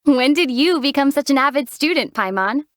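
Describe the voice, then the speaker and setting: A young woman voicing a calm, dry-witted heroine in a game, teasing her companion in a deadpan way. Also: high voice, moderate pace, slight background noise. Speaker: player character, traveler heroine. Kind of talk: game voice acting.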